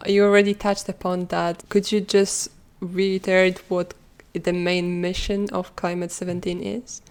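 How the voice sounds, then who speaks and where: Host: woman, lowish voice, level and polite interviewer. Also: level tone, a little slow, a little mellow, smooth. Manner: polite, orderly questioning. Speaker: podcast host. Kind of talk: interview questions.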